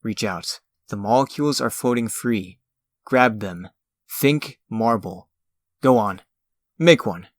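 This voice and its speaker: A man's voice: fairly low pitch, slow pace, no room sound, clean studio sound. A man playing a theatrical magician and escape artist in a comic dub, lecturing in a grandiose, urbane and condescending way. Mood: instructive.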